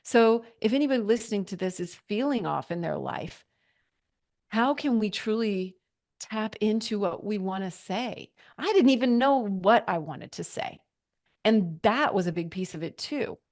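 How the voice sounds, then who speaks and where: A woman's voice: mid-range voice, normal speed. A woman in her forties, a podcast guest, telling her personal story in an earnest, reflective way and acting out her own past thoughts. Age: 40s. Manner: earnest, reflective, with lively quoted inner thoughts.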